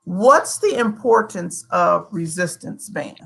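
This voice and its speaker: A woman co-hosting a talk show, asking questions in a measured, deliberate way. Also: on the low side, expressive, gravelly, fairly bright tone, slightly rough. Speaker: show co-host. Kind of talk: casual chat.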